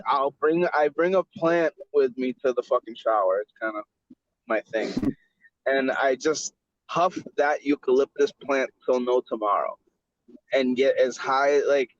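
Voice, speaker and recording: A man's voice: mid-range voice, no room sound. A man hosting a casual podcast chat, rambling with many stammered restarts and swearing with easy enthusiasm. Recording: dull dry lo-fi recording.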